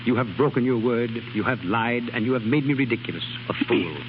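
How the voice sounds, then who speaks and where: A man's voice: mid-range voice, fast, natural voice. An actor in a 1930s radio drama playing a suave, scheming guardian, clipped and controlled in his manner. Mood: stern. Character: suave, scheming Frenchman, stern guardian of young singer. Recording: muffled, crackly 1930s radio broadcast.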